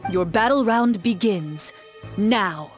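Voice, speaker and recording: A woman playing a game master in a video game, narrating the scene in a measured, solemn, dramatic way. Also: mid-range voice, faint music behind, lightly acted. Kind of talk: dramatic scripted second-person game narration.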